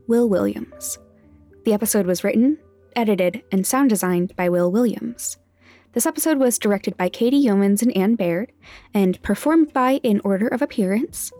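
A woman's voice: mid-range voice, mellow. A woman reading scripted end credits as a podcast's creator, in an orderly announcing manner.

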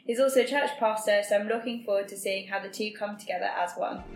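A woman's voice: medium pitch, quick pace, faint music behind. Chatty woman podcast host. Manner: friendly, chatty, jokey banter. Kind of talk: podcast interview. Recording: echoey room with faint noise.

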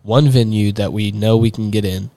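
A man's voice: fairly low pitch, fairly even intonation, normal speed, dark tone. A young man, chatting casually as a podcast guest, rambling with lots of fillers and restarts. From Texas. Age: young adult. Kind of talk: casual chat.

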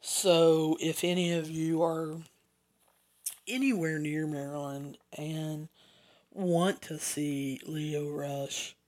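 A podcast host with a Southern US accent, giving a rambling, chatty solo rundown with asides and self-corrections. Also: medium pitch.

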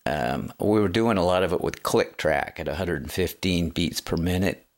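Very low-voiced rambling man. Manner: rambling, self-deprecating, halting with fillers. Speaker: host and songwriter. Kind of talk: casual conversational interview.

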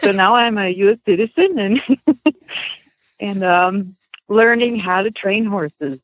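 A woman's voice: medium pitch, expressive, takes it slowly, dry recording. Female guest, casual storyteller, dry narrow telephone sound. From Switzerland. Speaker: interview guest, horse-training student. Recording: band-limited phone line.

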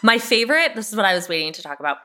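A woman's voice: medium pitch, big pitch swings, very fast, sharp tone, a little hiss or hum. A woman co-hosting a casual TV recap podcast, talking enthusiastically and swearing freely. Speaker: podcast co-host.

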